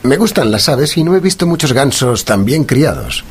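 A shrewd gentleman detective for radio drama: formal, dry wit, husky, sweeping intonation. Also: medium pitch, very animated, normal speed. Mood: polite, probing. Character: shrewd, composed gentleman detective, dryly witty.

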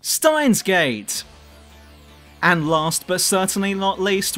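A man's voice: high-pitched, fairly bright tone. A man reading scripted review narration as a video reviewer.

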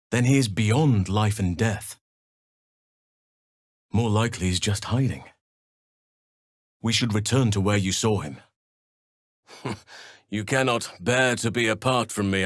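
A man playing a wise, gentle druid in a video game, speaking in a measured, formal and earnest way. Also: on the low side, no room sound, studio quality. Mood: tender, teasing. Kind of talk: video game character acting.

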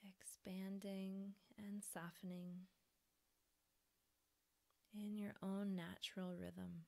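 Female meditation guide: hushed, soothing, creaky mid-range voice, leaving space between instructions. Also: mid-range voice, flat delivery. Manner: soft, breathy, soothing instruction with pauses. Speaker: yoga nidra teacher.

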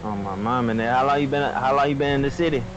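A man's voice: medium pitch, rapid-fire. American man on muffled game voice chat. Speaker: online game player. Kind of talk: casual slangy role-play chat. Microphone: online game voice chat. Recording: dull voice chat, faint car noise.

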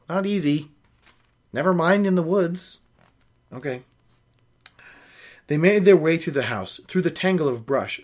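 A young man hosting a reading show, reading a novel aloud and breaking off into opinionated, nitpicking commentary. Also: a little high. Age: young adult. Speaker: host of a book-reading show. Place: small home office, indoors.

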